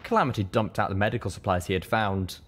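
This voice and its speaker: A man reading a first-person story as an audiobook narrator, with expressive, dramatic delivery. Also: fairly low pitch, fast.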